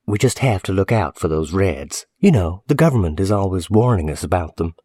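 A man's voice: sits low, lively intonation, fairly quick, warm tone, husky, no room sound, studio quality. A man voicing a friendly but wary boarding-house landlady in a chatty, polite way for a story. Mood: curious, wary.

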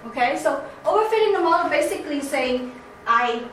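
A woman with a Chinese accent giving a seminar presentation, halting with many restarts and fillers. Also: high voice, expressive, a bit bright. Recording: echoey room, faint background noise.

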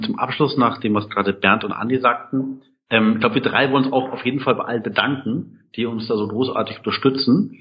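A man who organizes an event, speaking enthusiastically in an interview with many filler sounds. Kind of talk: interview answers. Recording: dull low-fi sound.

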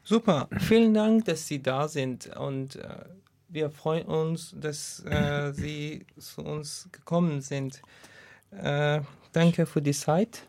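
A man interviewing a guest on the radio, speaking haltingly with many fillers. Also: fairly high pitch, a little mellow.

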